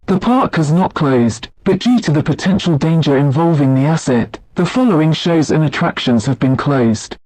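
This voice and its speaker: Man as higher-pitched formal emergency announcer, dry sound. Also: animated, darkish tone, no room sound, poor audio. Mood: formal, measured. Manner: formal, measured, impersonal. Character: formal male theme park emergency alert announcer.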